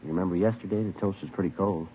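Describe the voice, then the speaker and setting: Man playing dry radio-drama cop, very low clipped deadpan, rapid delivery, tinny noisy vintage recording. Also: close to an everyday voice. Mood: dryly impatient. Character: terse, dry, no-nonsense male police detective sergeant. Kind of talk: 1950s radio drama acting, understated.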